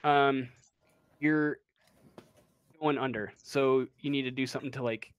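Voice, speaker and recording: A man narrating a tabletop game as game master in a casual, halting, improvised way. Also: mid-range voice, fairly even intonation, clean tone, no room sound, lo-fi sound. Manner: halting, hesitant, casual delivery.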